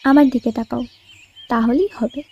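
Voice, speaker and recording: A woman's voice: fairly high pitch, fairly even intonation, moderate pace, mellow, lo-fi sound, a little hiss or hum, natural voice. A woman playing a young, caring and curious female companion in an audio story. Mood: soft, coaxing. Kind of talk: audio story dramatic acting.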